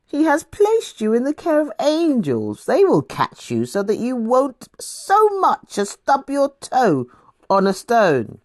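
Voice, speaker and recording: A woman's voice: fairly high pitch, huge pitch swings, a little mellow, no echo, studio quality, pitched above the performer's own voice, full character voice. A woman voicing the devil in a scripture story as a sly, smug male tempter, coaxing and drawing out the words. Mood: mocking, goading. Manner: slow, drawn-out, coaxing with humming lead-ins.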